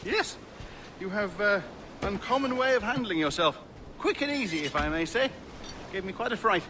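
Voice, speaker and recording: An actor playing a timid, genteel gentleman in a video game cutscene, speaking in a polite, flowery, nervously hesitant way. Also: high voice, lively intonation, moderate pace, noisy background. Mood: relieved, chatty. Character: timid, genteel, grateful gentleman merchant, easily flustered.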